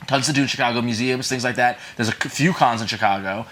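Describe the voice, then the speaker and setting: A man's voice: mid-range voice, fairly bright tone. A man co-hosting a comics podcast in casual, joking banter. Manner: quick, joking, banter-heavy. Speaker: podcast co-host. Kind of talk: casual chat.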